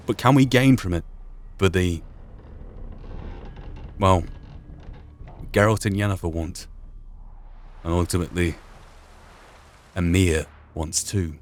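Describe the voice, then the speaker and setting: A man hosting a gaming playthrough, musing aloud in a thoughtful, halting commentary. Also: on the low side. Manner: thoughtful, halting, thinking through asides. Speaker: gaming video host.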